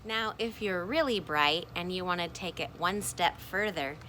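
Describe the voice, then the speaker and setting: A woman teaching kindergartners in a read-aloud lesson, speaking expressively and pausing to ask questions. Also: on the low side, a little hiss or hum, low-quality recording. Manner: expressive, asks questions and pauses for answers.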